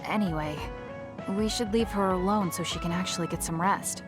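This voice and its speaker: A woman's voice: fairly low pitch, normal speed, natural voice. An actress playing a composed, blunt but caring young female soldier in a video game. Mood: concerned. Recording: music behind the voice.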